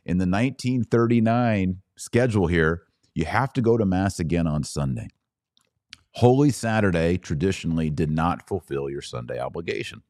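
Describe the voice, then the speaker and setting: Man, low-pitched voice, dry close sound, podcast host, conversational teaching, enthusiastic. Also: animated, moderate pace, darkish tone, no room sound. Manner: conversational, asks and answers own questions. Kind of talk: informal explanatory religious talk.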